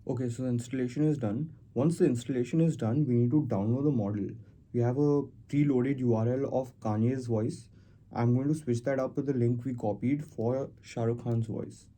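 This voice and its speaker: Male tutorial host, very dark mellow tone, casual step-by-step walkthrough delivery, mid-range pitch. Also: fairly even intonation, normal speed. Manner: step-by-step explaining. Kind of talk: casual screen-recorded software walkthrough.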